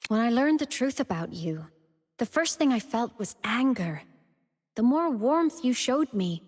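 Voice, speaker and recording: High-voiced ghostly student girl, reverberant. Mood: hurt, intense. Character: young female student turned digital ghost, cheerful yet wistful, devoted. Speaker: video game character voice. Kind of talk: scripted video game character monologue. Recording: long reverb on the voice.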